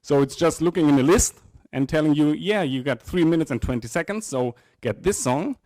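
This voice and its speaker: A middle-aged man giving an informal live technical presentation, often halting and repeating words. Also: a little high, lively intonation, darkish tone, no room sound. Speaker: software presenter. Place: indoor presentation room with small audience. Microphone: handheld microphone.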